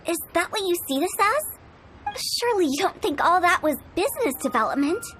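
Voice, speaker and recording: A woman's voice: very high voice, very animated, a little fast, a bit bright, faint music behind, heavily acted. A woman voicing a mischievous young female funeral director who talks in a playful, teasing, breezy way, as in a game cutscene. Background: game score.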